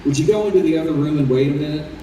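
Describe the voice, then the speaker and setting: A man playing a kindly, grave doctor in his fifties in an old time radio drama, gentle and deliberate. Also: a little high, natural voice. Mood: concerned. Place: convention hall stage. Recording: echoey hall, distant mic, faint noise.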